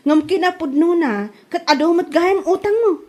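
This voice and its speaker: A woman playing a sharp-tongued, gossipy village wife in a comedy drama, scolding emphatically with an Ilocano accent. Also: very high-pitched, moderate pace. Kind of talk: Ilocano comedy radio-style drama acting.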